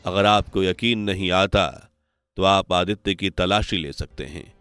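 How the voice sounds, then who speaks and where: A man voicing an old wandering holy man in an animated story, humble, solemn and measured. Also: low voice, smooth, dry recording, soft background music. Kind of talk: animated moral story voice acting.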